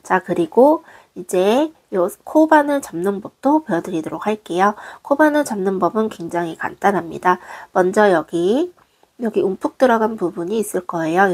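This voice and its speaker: A woman, hoarse from a cold, patiently walking viewers through a craft tutorial step by step in a gentle, polite way. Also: medium pitch. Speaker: crochet designer teaching.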